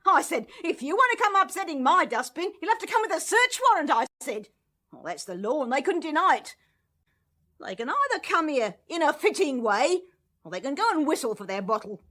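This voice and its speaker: A woman's voice: sits high, big pitch swings, a little fast, bright, forceful tone, exaggerated voice. A woman playing an indignant, nosy working-class English landlady who bosses and scolds garrulously in a radio drama. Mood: self-righteous, gossipy. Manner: scolding, garrulous, self-righteous.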